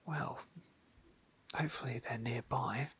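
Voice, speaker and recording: A man hosts an ASMR roleplay playthrough of a fantasy game. His voice is very breathy and whispery, with creaky fry and a bright, sharp edge. He talks softly and hesitantly, in polite, wordy asides, close to the microphone. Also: medium pitch, fairly even intonation, a little slow. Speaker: host narrating a game playthrough.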